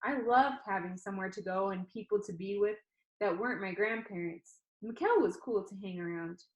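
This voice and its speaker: A woman's voice: mid-range voice, moderate pace. A woman reading a first-person novel aloud as narrator. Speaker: audiobook reader. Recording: echoey room.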